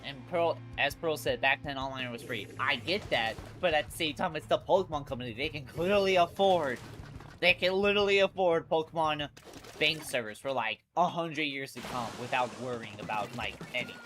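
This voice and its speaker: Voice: mid-range voice, normal speed, a bit bright. A congested livestream host chatting casually about games, slangy and sweary, often repeating and restarting phrases. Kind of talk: casual gaming livestream chat. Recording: music behind the voice. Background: music.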